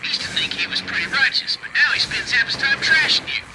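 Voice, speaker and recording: An actor playing a brash, gossipy buddy in a video game, talking in a casual, slangy, animated way. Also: male voice, extremely high pitch, quick pace. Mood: egging on. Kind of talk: video game cutscene acting. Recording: loud background noise.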